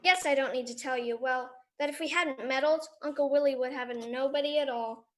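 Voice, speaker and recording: A woman's voice: sits high, a little fast, close to an everyday voice. A woman playing an earnest, bossy little girl in a live radio drama, speaking emphatically. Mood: indignant.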